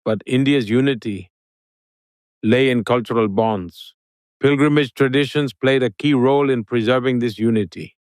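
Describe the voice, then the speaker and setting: A man giving an interview guest's answers in English, with a steady, formal delivery like a prepared speech. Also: mid-range voice, moderate pace, dry recording, studio quality.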